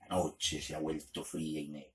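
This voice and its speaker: A man acting as the narrator of an eerie night-time horror radio play, telling it in a measured, dramatic way. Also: very deep voice, little pitch movement, fairly bright tone. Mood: ominous.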